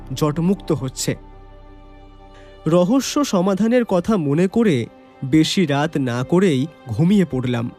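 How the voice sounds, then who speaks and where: An actor narrating a mystery audio drama as the detective's reflective companion, expressive and atmospheric. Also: male voice, high-pitched, exaggerated voice. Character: detective's loyal male companion, wondering, reflective storyteller. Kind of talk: dramatised first-person story narration.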